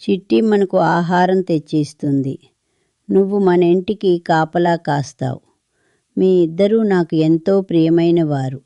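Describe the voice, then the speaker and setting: A woman playing a kind, gently scolding old village mother in a children's storybook tale. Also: lowish voice, unhurried, no room sound, highs cut off. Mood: loving reproach.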